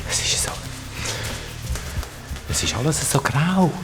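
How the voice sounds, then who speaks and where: Casting: a worried boy adventurer in a kids' radio play. Swiss German, lively swooping intonation, halting and questioning. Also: fairly high pitch, over music. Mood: amazed, uneasy. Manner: hesitant, repeats himself, questioning. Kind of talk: children's audio drama acting.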